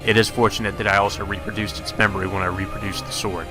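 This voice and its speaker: A man's voice: fairly low pitch, flat delivery, fast, music in the background. A man reading a visual novel aloud as narration, in the manner of a let's play host.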